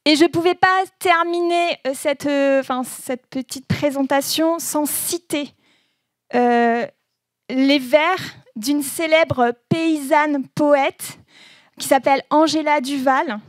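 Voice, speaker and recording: A woman giving a relaxed presentation, reading proverbs aloud and explaining them with frequent laughter. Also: high voice, no echo. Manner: slow, laughing often, says proverbs then explains them. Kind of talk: informal presentation with translations.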